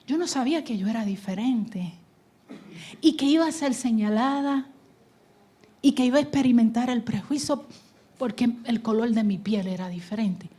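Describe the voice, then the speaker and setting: A woman from New Jersey giving a preaching testimony at a conference, moving from loud exhortation to hushed whispers. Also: a little high, normal speed, husky. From United States (New Jersey). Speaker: conference speaker. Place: conference hall stage.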